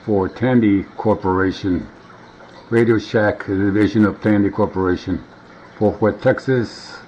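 Middle aged guy muttering thru a gadget demo at home, lowish voice, bit of background noise. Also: a little mellow. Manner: rambling, muttering to himself. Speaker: vintage gadget demonstrator. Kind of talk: casual hands-on demonstration. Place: indoors at a wooden table at home. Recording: dull, noisy home camera audio.

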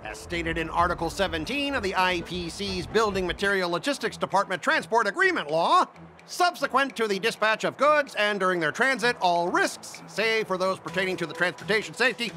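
A man's voice: high-pitched, lively intonation, brisk, pushed and bright. A man voicing a smug, pedantic corporate fleet officer in a video game, pompous and condescending. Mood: smug, officious. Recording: music under the voice.

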